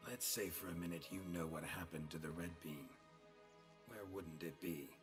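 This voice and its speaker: A man playing a gruff, laconic monster hunter in a fantasy video game, mumbling in a dry deadpan monotone. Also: low-pitched, normal speed, lightly acted. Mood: wry questioning. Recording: music behind the voice.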